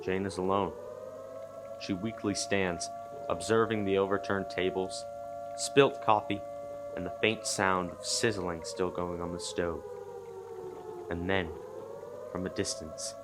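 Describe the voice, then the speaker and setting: A man narrating a radio play in a theatrical, scripted storytelling style that builds from hushed to loud. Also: low voice, steady tone, slow, pauses often, over music.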